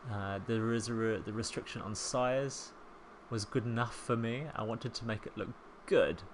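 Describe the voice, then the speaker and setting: A man in his thirties with a soft New Zealand accent, chatting casually as a voice-over while he builds a home in a life-sim game, rambling and self-deprecating. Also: on the low side, fairly even intonation, moderate pace, slight background noise. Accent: soft New Zealand, softened by years in England. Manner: chatty, rambling, self-deprecating, with frequent ums and small laughs. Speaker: Sims speed-build creator.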